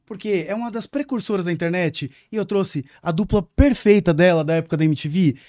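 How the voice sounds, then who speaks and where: A Brazilian man in his thirties hosts a podcast at a quick clip, joking and teasing his guests. His voice sits a bit high for a man. The sound is dry and close, with no room echo. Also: mellow. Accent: Brazilian Portuguese. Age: 30s. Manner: playful, teasing, quick-talking. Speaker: podcast host. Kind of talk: casual chat.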